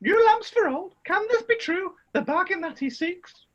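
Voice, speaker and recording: Woman as a very shrill pantomime mother. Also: animated, moderate pace, muffled recording. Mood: amazed. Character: excitable doting mother of Aladdin, gullible. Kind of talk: pantomime acting.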